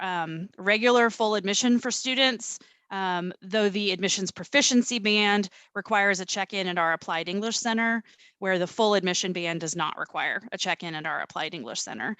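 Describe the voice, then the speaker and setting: A woman's voice: mid-range voice, pushed and bright, no echo. A woman from a university admissions office giving an informational presentation on graduate applications, with frequent ums as she explains each step.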